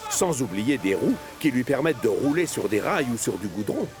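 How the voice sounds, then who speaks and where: A man's voice: mid-range voice, big pitch swings, normal speed. A man narrating a scripted children's story as the storyteller of a cartoon. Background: music.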